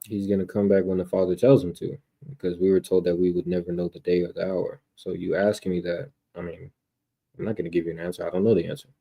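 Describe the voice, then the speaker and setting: An American man debating casually in street slang, confrontational, often repeating words and clearing his throat. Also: low voice, level tone, fast talker, dark tone, rough recording. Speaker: debater on a religious livestream.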